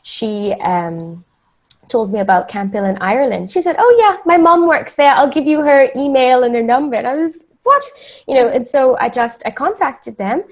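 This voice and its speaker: A woman from the Bahamas, speaking as an interview guest and telling stories in a casual, animated way. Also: a little high.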